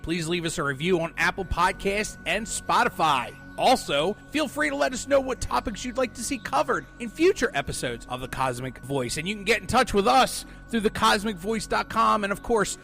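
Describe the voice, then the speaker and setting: A man's voice: high voice, fairly bright tone. A man co-hosting a podcast, delivering a scripted closing announcement to listeners. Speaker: podcast co-host.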